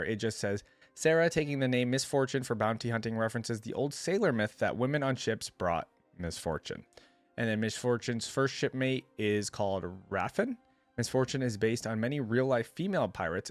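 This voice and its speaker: A man's voice: lowish voice, dry recording. A man giving casual reaction commentary as a video host, reading bits aloud and musing on them conversationally. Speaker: host of a game lore reaction channel.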